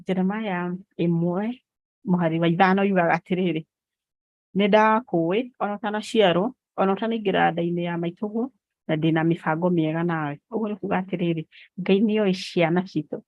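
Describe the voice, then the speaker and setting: A woman's voice: medium pitch, no echo, lo-fi sound. A Kenyan woman, a native Kikuyu speaker, speaking earnestly and steadily as an interview guest, sharing scripture. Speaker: guest, foundation founder. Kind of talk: faith-based interview talk.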